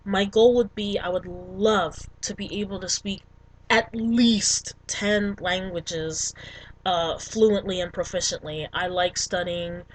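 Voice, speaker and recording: A woman's voice: lowish voice, steady tone, slow pace, a little hiss or hum, low-quality recording. A young adult woman talking casually to camera as a language vlogger, rambling with many ums and likes. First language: English.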